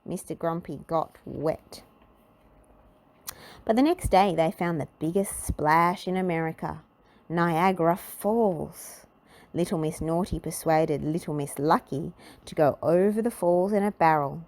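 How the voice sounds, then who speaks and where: A woman reads a children's story aloud. Her voice sits a little low, and she tells the tale expressively, in measured storybook narration. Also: a little mellow.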